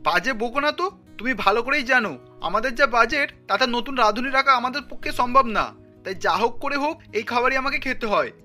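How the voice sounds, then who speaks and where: A woman's voice: on the high side, animated, a little fast, sharp tone, faint music behind. A woman voicing an irritable, dismissive office-going husband in a cartoon, curt and scolding. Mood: irritated. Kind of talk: cartoon family drama voice acting.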